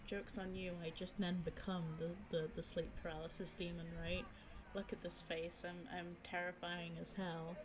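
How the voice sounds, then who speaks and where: A young woman streamer chatting casually with viewers, giggling and teasing herself, her voice a little low for a woman and her throat sore. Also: little pitch movement, normal speed, background noise. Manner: chatty, giggly, self-mocking. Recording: music playing behind the voice.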